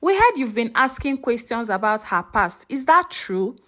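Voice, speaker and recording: A woman's voice: a little high, very animated, normal speed, fairly bright tone. A woman storyteller playing a scoffing male cousin who makes veiled threats in a sneering manner. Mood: probing.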